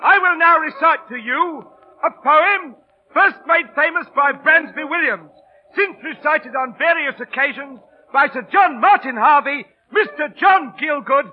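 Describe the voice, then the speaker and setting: An actor playing a weary but cheerful street busker who recites pompously in an old-time radio drama. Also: male voice, very high-pitched. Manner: theatrical, declamatory, pompous. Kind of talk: 1930s-40s radio acting. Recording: muffled, noisy old radio broadcast.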